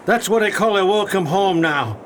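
A man's voice: high voice, lively intonation, moderate pace, slight background noise. An actor playing a sly, gloating pirate crewman in a theatrical period radio drama.

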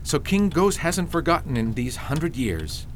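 Man playing an animated, plain-spoken old father. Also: on the high side, a little slow, slight background noise. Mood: advising. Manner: matter-of-fact, advising, emphatic. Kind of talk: fantasy radio drama acting.

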